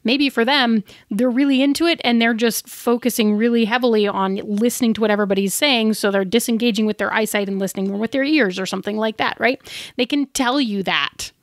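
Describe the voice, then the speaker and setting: A woman's voice: fairly high pitch, brisk, no room sound, studio quality. A woman co-hosting a podcast, explaining things in a casual, conversational style. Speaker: podcast co-host. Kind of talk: casual explanatory chat about tabletop gaming.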